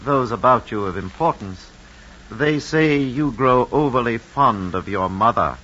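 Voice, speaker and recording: A careful, scheming Roman counsellor in an old radio play, deferential and formal. The voice is mid-pitched with a tense, bright edge, on a muffled recording with faint noise. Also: male voice, animated, unhurried, slightly rough, heavily acted. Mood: urgent, insinuating. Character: cautious, scheming mentor and adviser to emperor. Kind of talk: old-time radio drama acting.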